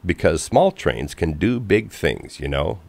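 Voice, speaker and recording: Man voicing a plucky little girl train, lively intonation. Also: low voice, a little slow, creaky, dry recording, exaggerated voice. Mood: proud. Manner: earnest, plucky. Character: small, eager, determined young female toy train engine.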